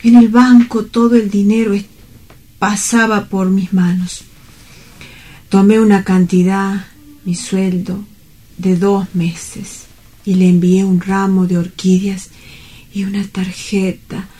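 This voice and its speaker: Woman as a mellow, slow-spoken lovelorn young clerk. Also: mid-range voice, flat delivery, slightly rough. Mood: rapturous. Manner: wistful, poetic, earnest. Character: lovelorn, dreamy young male bank clerk. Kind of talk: dramatic radio-play monologue.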